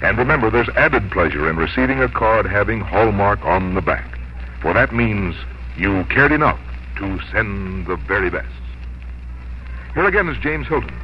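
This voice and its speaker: A man reading scripted announcements and advertising as a 1950s radio announcer, with a polished, measured sales delivery. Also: on the low side, expressive, a little hiss or hum. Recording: dull vintage radio broadcast, music behind. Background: music.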